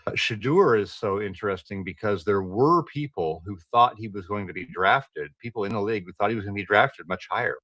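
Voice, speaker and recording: A man with a lowish voice breaks down a player's prospects in a measured, hedging way. He thinks aloud as he goes, and the sound is dry and close. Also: bright, forceful tone, no echo. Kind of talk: measured sports analysis.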